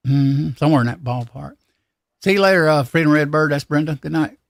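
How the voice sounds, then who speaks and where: A man hosting a casual livestream chat, talking in a rambling, halting, folksy way. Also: on the high side, creaky, no room sound, studio quality. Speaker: livestream host.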